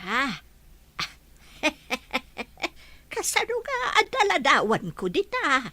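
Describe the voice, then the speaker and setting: An elderly, forgetful grandmother for a radio drama. Her voice is bright and somewhat high. The delivery swings emotionally, with animated intonation. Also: very slow, full character voice. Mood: bewildered. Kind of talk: Filipino radio drama acting.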